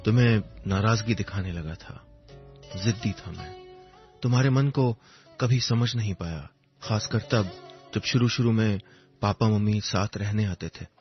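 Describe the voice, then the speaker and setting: A man with a fairly low voice tells a dramatic first-person story. He is wistful and reflective, pausing between poetic phrases. The recording is a little dull, with music underneath.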